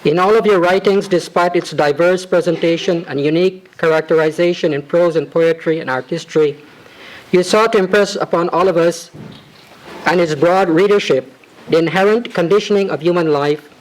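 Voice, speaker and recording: Man, high-voiced, formal devotional tribute reading, ornate and measured. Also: low-quality recording. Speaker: disciple reading a homage.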